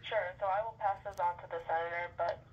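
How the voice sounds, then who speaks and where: A woman's voice: fairly low pitch, fairly even intonation, fast. A woman working as an office staffer taking calls, firm, polite and formal, heard over a noisy speakerphone. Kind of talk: formal phone customer service. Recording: noisy phone call on speakerphone.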